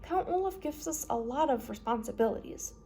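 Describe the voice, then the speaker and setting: A woman's voice: a little high, animated, a little fast, dark tone, slight background noise. A woman voicing a well-mannered, inventive orphan girl in a storybook, courteous and earnest. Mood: guarded. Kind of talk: storybook character voice.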